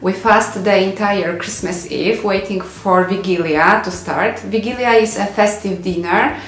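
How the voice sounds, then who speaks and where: A woman from Poland talking gently to camera, with a few uh fillers. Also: mid-range voice, a little slow, slight background noise. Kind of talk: casual self-introduction to camera. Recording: echoey room.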